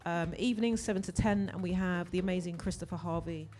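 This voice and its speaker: A woman's voice: lowish voice, fast, a little mellow, faint music behind. A middle-aged woman chatting casually and jokily as a guest DJ in a radio interview. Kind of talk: casual chat. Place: radio studio.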